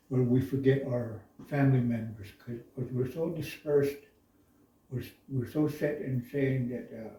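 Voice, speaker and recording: A man from the Navajo Nation speaking slowly and thoughtfully in a reflective discussion, pausing often with uhs. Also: medium pitch, mellow. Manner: slow, thoughtful, halting with many uhs. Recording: echoey room, voice from far off.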